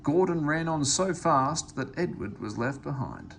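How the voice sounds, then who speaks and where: A man reading a children's story aloud in an expressive, animated way. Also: on the high side, measured pace, vocal fry, a little hiss or hum. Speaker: storybook reader.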